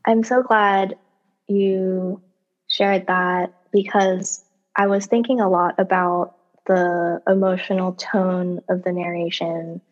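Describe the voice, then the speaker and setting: A woman whose first language is Cantonese, an author and audiobook narrator answering interview questions in a thoughtful, measured way with light laughs. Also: lowish voice, flat delivery, lo-fi sound.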